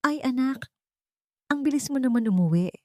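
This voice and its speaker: A woman's voice: sits high, lively intonation, moderate pace, warm tone, no echo, studio quality, voice pushed up. A woman voicing a weary, self-effacing elderly mother with a timid, placating manner in an audio drama. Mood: flustered, placating.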